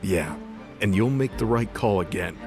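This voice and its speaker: Man playing a low, weary wounded god. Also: animated, normal speed. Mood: weary reassurance. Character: wounded male god, weary, wry and defiant. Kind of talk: audio drama acting. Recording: music bed behind voice.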